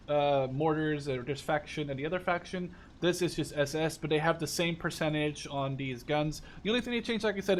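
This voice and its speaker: A man in his twenties from the Netherlands giving a casual, rambling, enthusiastic game overview with informal language. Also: high-pitched. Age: 20s. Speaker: gaming video creator. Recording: clear background noise.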